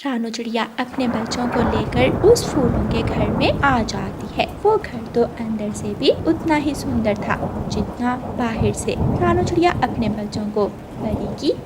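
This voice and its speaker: A woman's voice: on the high side, measured pace, hardly any pauses, heavy background noise. A woman storyteller giving scripted narration for a children's cartoon. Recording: loud rain and thunder behind.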